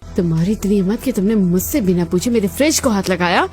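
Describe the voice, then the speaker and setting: Actress as selfish elder daughter-in-law, haughty, bossy, cartoon dialogue, mid-range voice. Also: female voice, medium pitch, animated, quick pace, darkish tone, a little hiss or hum, natural voice. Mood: angry, scolding.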